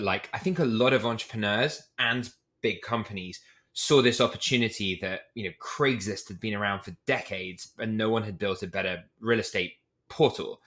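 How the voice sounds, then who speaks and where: A British man with a lowish, bright and forceful voice, speaking as an interview guest. He explains things candidly and at length, dotting his speech with casual fillers. It sounds like a relaxed podcast conversation. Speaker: podcast guest, startup CEO.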